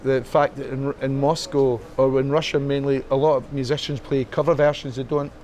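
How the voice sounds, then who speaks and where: A middle-aged man with a Scottish accent, talking as a guest in a club. He speaks haltingly, with many fillers and restarts. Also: medium pitch, little pitch movement, a little mellow. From Scotland. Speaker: interview guest, musician. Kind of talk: casual chat.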